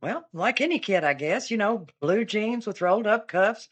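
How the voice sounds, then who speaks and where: An actress playing a chatty young woman eyewitness in a comic radio drama, rambling and deadpan. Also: mid-range voice, normal speed, slightly rough. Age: 20s. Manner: chatty, meandering, with an offbeat deadpan.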